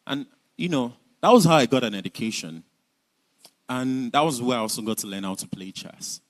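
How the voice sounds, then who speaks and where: A man's voice: mid-range voice, expressive, fairly quick, low-quality recording. Earnest Nigerian man telling his story. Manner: earnest, reflective, with light laughs. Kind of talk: personal storytelling talk.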